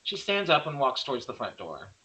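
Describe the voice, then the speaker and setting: A man's voice: medium pitch, wildly swooping pitch, a little fast, sharp tone, low-quality recording. A man narrating stage directions in an amateur screenplay table read, sometimes stumbling and correcting himself.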